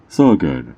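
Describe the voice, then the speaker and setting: A man playing a sly, mocking underground drug dealer in an ASMR roleplay, teasing and smug, with theatrical drawn-out words. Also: low-pitched, mellow, heavily acted. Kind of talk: ASMR character roleplay monologue.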